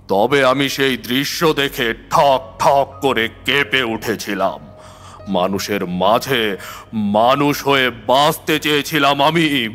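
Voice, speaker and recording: A man playing a weary, philosophical older tantric in a horror audio story, reflective and deliberate as he tells his tale. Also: medium pitch, a bit bright.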